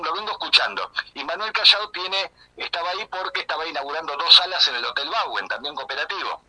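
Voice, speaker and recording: An older man with a Buenos Aires accent answers as a radio guest in long, conversational turns full of small verbal tags. His voice is middle-pitched and extremely bright, with a forceful, cutting edge. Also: dry recording, rough recording. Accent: Buenos Aires Argentine. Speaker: radio interview guest, community radio journalist. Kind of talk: unscripted conversational account.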